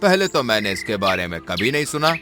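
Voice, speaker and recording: An actor voicing a boastful, self-assured male lion in a cartoon, speaking in a low, scoffing voice. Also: sing-song, brisk. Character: boastful proud male lion, jungle king. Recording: cartoon with music behind.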